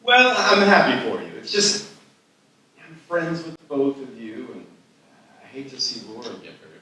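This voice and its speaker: A man acting a doubtful, quietly exasperated friend in his thirties at a live table read, hesitant and dry. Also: medium pitch, sing-song, a little slow. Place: live festival stage reading before an audience. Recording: echoey hall, distant mic, audience noise.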